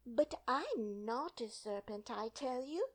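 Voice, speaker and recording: A woman's voice: fairly high pitch, expressive, slow, pitched above the performer's own voice. A woman voicing a prim little girl. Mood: indignant. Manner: indignant and flustered. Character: polite, earnest little girl.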